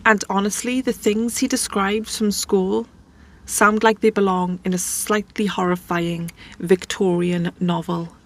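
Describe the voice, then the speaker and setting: A woman tells a story as if chatting with a friend. She talks casually and drops in conversational asides. Her voice sits at an ordinary middle pitch. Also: level tone, measured pace. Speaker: host.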